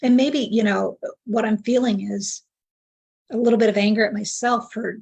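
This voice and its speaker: A woman in her sixties with a gravelly, husky voice speaks in a flat, level tone. She talks candidly about her feelings and repeats the practitioner's phrases back one by one. Her delivery is reflective and unhurried. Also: a little high. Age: 60s. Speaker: client in a tapping session. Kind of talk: echoing affirmations after the practitioner.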